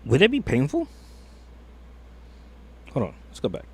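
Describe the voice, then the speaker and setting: A man's voice: medium pitch, wildly swooping pitch, unhurried, darkish tone, husky. A man streaming a reaction video, thinking aloud in a hesitant, rambling way full of fillers. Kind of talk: casual reaction commentary.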